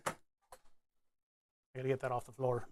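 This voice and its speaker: A man's voice: medium pitch, completely flat delivery, normal speed, a little mellow, a little hiss or hum. A man chatting casually on a live stream as he builds electronics, rambling, answering chat and laughing often.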